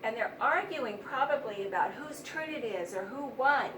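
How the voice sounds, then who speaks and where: A woman teaching young children, explaining things simply and deliberately with plenty of repetition. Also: fairly high pitch, lively intonation. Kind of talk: read-aloud vocabulary lesson for young children. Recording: roomy, distant mic, noisy background.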